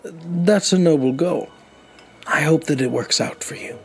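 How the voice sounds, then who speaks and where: An actor playing an older, humble, grateful man who tells his story in halting phrases in an audio drama. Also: fairly high pitch. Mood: kindly. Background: music.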